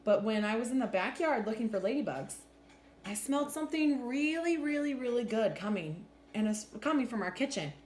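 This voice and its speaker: Expressive woman storyteller with lively pitch. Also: a little fast. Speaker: teacher reading a picture book aloud. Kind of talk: children's storybook read-aloud. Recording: roomy echo with faint background noise.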